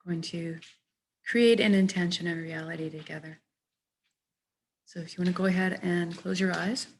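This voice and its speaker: A woman leading a guided meditation, speaking in a gentle, measured, soft way as she gives soothing instructions. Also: lowish voice, steady tone.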